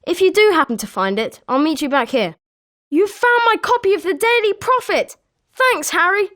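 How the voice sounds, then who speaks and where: A woman voicing an excitable young British schoolboy wizard in a video game, with animated scripted delivery. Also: very high-pitched, moderate pace. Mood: eager, excitable. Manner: animated, expressive.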